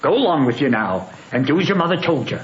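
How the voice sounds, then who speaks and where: An actor playing a stern, wary Southern patriarch of about sixty in an old radio drama, with commanding, folksy country speech. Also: male voice, a little high, sing-song, fast. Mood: relieved, kindly. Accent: American Southern. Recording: dull, hissy old radio broadcast.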